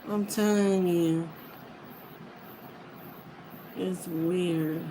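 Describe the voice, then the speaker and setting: Slow, rambling woman over clear background noise. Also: on the low side, steady tone. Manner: informal, meandering, trailing off mid-thought. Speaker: reader of books for listeners. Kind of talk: casual rambling chat to viewers.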